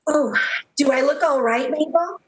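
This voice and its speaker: A woman's voice: high voice, moderate pace, close to an everyday voice. A woman playing a dreamy, lovestruck young switchboard operator in 1930s radio style, earnest and gushing. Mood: eager, flustered.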